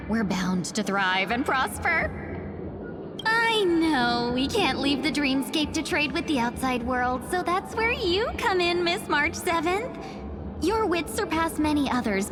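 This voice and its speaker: Chirpy high sweet-talking merchant girl, super lively voice, loud music behind. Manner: sweet-talking, eager salesperson. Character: cheerful, scheming young merchant woman.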